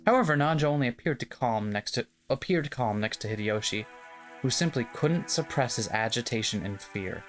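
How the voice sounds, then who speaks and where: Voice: mid-range voice, moderate pace, faint music behind. Streamer reading a mystery game aloud. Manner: wry, jokey asides between steady reading. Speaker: player reading a visual novel aloud.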